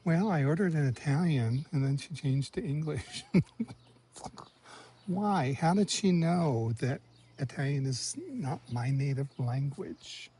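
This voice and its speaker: Mellow-voiced man muttering travel asides. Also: fairly high pitch, slow pace, a little hiss or hum, low-quality recording. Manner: chatty, muttering asides, sometimes whispering. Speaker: travel vlogger. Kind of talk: casual walking vlog commentary. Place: outdoors in a village street and on a café terrace.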